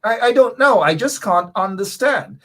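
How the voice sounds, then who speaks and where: A Guyanese-accented man in middle age runs a political talk show, speaking in a high register for a man. His pitch swings widely as he hammers home his points and says them again for emphasis. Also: moderate pace. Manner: animated, emphatic, repeats key points. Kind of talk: political commentary monologue.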